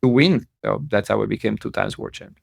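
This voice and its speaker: A man's voice: fairly low pitch, fast, gravelly, dry recording, studio quality. A man in his forties from Italy, speaking with an Italian accent as a podcast guest on a video call, with lots of fillers and easy laughter. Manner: warm, laughs often, many fillers. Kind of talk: remote interview. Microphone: headset on video call.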